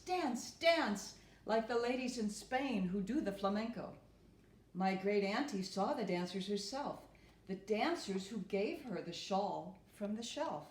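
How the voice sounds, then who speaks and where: A woman reading a children's storybook aloud as a storyteller, with deliberate, expressive phrasing. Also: mid-range voice. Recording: roomy, echoing room.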